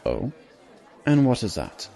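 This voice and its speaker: A man playing a cautious, loyal stallion ambassador in an audio drama, measured and wary. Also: medium pitch, wildly swooping pitch, vocal fry, warm tone, faint music behind, some chatter behind, exaggerated voice. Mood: reserved.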